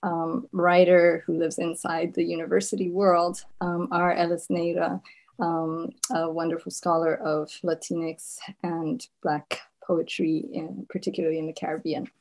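A woman poet from Zimbabwe whose first language was Shona, reading her poems and talking slowly and thoughtfully about them, with many pauses and ums. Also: fairly low pitch, level tone, a little mellow. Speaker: poet and professor giving a reading.

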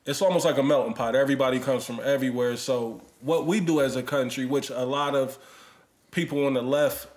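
A man co-hosting a casual chat, laying out his views in a measured way with "um" and "you know". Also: medium pitch, fairly even intonation, a little mellow. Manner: measured, explains points, hedges with "um" and "you know".

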